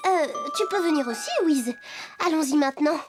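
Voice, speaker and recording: An actor voicing an impatient, excitable young girl fairy in a French cartoon dub. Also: high voice, a little fast, music in the background, natural voice. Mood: excited, impatient.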